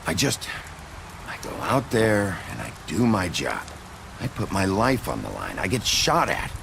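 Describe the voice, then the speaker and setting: An actor playing a gruff, world-weary lawman in a noir video game, gravelly, terse and brooding. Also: low-pitched, wildly swooping pitch, unhurried, fairly bright tone, heavily acted. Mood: weary, bitter. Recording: noisy street ambience behind the voice.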